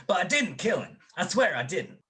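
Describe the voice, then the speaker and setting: A man's voice: high voice, very animated, moderate pace, pushed and bright, heavily acted. A man playing an eager, hot-headed young college football player in a radio detective drama. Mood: pleading.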